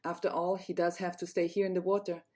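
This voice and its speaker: A woman's voice: on the low side, little pitch movement, quick pace, darkish tone, natural voice. A woman voicing a spoiled young fairy-tale princess, dismissive and easily disgusted.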